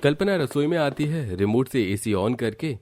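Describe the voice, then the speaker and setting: A man reading scripted narration for an animated story. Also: medium pitch, normal speed, a little hiss or hum.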